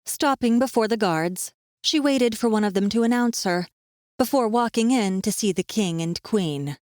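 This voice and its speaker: A woman's voice: mid-range voice, moderate pace, darkish tone, dry recording, clean studio sound. A woman narrating a fantasy audiobook in a scripted storytelling style.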